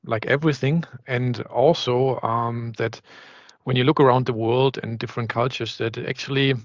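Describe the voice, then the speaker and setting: A man from Germany co-hosting a podcast, measured and full of fillers like um and like. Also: medium pitch, steady tone, no room sound, highs cut off. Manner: measured, filler-heavy, uses like and um. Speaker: podcast co-host. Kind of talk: casual panel discussion.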